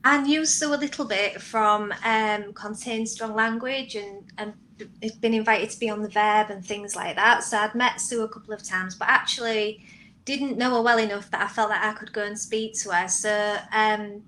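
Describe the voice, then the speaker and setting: A woman speaks as a panel guest in a bright, forceful voice. She speaks hesitantly and corrects herself midway through sentences. Faint background noise runs behind her. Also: mid-range voice, steady tone, moderate pace, poor audio. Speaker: scriptwriter guest. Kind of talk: panel discussion on a video call.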